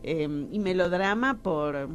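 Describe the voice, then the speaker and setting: A woman's voice: fairly low pitch, expressive, slow pace, soft background music. A woman with an Argentine accent, explaining things conversationally as a guest in an interview. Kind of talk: casual conversation.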